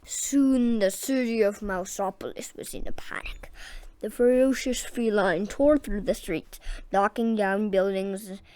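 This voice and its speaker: A woman's voice: medium pitch, fairly even intonation, a little slow, a little mellow, smooth. A woman reading a children's story aloud with dramatic, emphatic narration. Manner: theatrical, emphatic. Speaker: story reader.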